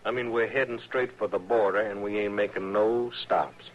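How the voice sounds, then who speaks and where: A man playing a gruff, bossy outlaw leader. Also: on the low side, steady tone, normal speed, close to an everyday voice. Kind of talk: 1950s radio drama acting. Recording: dull old radio broadcast, faint hiss.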